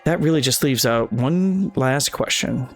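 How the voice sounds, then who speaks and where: A man's voice: mid-range voice, lively intonation, measured pace, soft background music. A man reading a visual novel's dialogue and narration aloud for a let's play video, sometimes tripping over lines and correcting himself.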